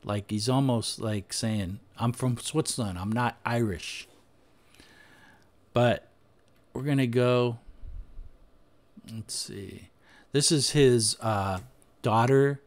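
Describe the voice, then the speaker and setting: A man presenting history research over slides, speaking off the cuff with frequent pauses, "uh"s and restarts. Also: medium pitch. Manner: unscripted, thinking aloud with frequent "uh" and restarts. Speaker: history podcaster. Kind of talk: screen-share presentation, talking over slides.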